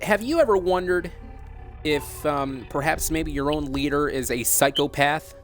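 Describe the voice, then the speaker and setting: A man with a slightly high voice hosts an interview over background music. He is earnest and insistent, polite in form but reproachful as he presses long, challenging questions. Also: a little slow. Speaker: broadcaster interviewing by phone.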